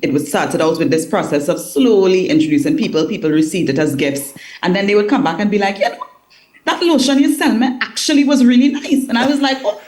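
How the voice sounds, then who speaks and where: A woman from Trinidad and Tobago talks about her business as a guest on a morning show. She joins by video call and sounds articulate and relaxed, laughing easily, with a voice of middle pitch. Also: fairly quick, a little hiss or hum. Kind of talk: remote interview.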